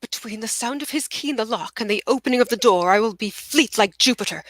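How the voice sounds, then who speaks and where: A woman playing a suave, grandiose gentleman suitor with a pompous, theatrical delivery in a stage comedy read. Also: fairly high pitch, fast talker, no room sound. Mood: grandly seductive.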